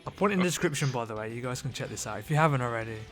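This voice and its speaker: Man, medium pitch, casual podcast host, mock-earnest joking, faint music underneath. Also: lively intonation, rapid-fire, fairly bright tone. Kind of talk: casual chat. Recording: music playing behind the voice.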